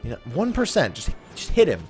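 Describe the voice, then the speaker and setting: Sweary man with very animated, swinging pitch. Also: brisk, soft background music. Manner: sweary, griping, sarcastic. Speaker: host of a gaming let's play series. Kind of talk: casual let's play commentary.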